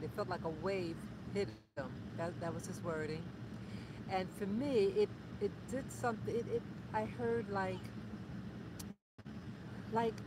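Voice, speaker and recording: A vlogger with a light voice chatting in a casual, hesitant way outdoors on a pier, recording on a phone held in hand. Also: medium pitch. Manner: chatty, hesitant, many restarts and fillers. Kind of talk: casual rambling vlog. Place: outdoors on a pier by water in New York State. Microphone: iPhone held by the speaker. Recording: loud outdoor noise from the phone.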